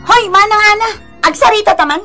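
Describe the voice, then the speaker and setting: A woman's voice: extremely high pitch, fast, pushed and bright, soft background music. An actress playing an angry younger village woman in a radio drama, confrontational and prone to shrieking. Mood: accusing. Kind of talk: Ilocano radio drama acting.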